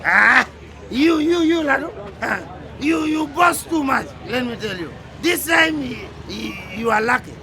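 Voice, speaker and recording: An actor in a radio drama playing an angry, boastful, blustering village husband who stammers when worked up. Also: male voice, very high-pitched, lively intonation, unhurried, crowd noise, full character voice. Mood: indignant, boastful.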